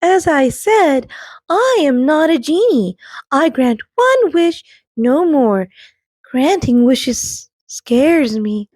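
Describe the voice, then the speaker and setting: A woman's voice: high-pitched, big pitch swings, slow, a little mellow, no room sound, pitched above the performer's own voice, full character voice. A woman reading aloud and voicing a timid female wish-granting rock spirit that frets, quavers and frightens easily. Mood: nervous.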